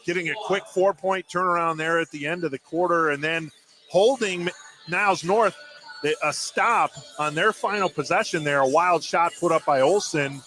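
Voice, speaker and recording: A man's voice: fairly high pitch, moderate pace, pushed and bright, soft background music, poor audio. A man working as a color commentator, giving conversational analysis during a live basketball broadcast.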